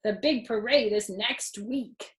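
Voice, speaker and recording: A woman's voice: fairly high pitch, slow pace, echoey room. A woman voicing a stern male school band director with a firm, scolding manner in a children's storybook. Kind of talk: children's storybook read-aloud.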